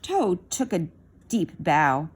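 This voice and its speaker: A woman reading a storybook aloud to children in an expressive style. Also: lowish voice, slow, a little hiss or hum.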